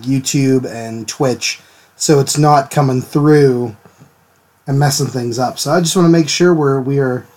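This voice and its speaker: A man hosting a live drawing stream, a little hoarse from a cold, chatting casually and greeting viewers by name. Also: mid-range voice, a little mellow. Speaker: art stream host. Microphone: desk mic, sometimes turned away from it.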